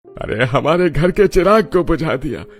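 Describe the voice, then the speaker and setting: A man's voice: fairly high pitch, animated, measured pace, faint music behind. A man voicing a solemn, dramatic older father-in-law in a cartoon family drama. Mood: reproachful.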